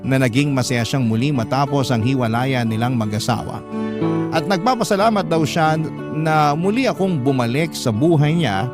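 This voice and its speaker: An actor playing a devoted man who tells his love story in dramatic first-person radio narration, sentimental and measured. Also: mid-range voice, lightly acted. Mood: happy, sentimental. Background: prominent plucked guitar music.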